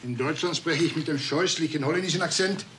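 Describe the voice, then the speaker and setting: A man's voice: on the high side, quick pace, a bit bright, slight background noise, lightly acted. A man playing a wry cop with a Dutch accent. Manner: dry, cheeky. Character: wry, foul-mouthed male police partner.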